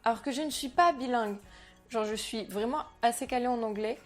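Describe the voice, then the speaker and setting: A young woman, a French native speaker, chatting to camera in a slangy, animated way, talking about herself as a vlogger. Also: fairly high pitch, a little fast, soft background music. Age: young adult. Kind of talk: casual chat to camera.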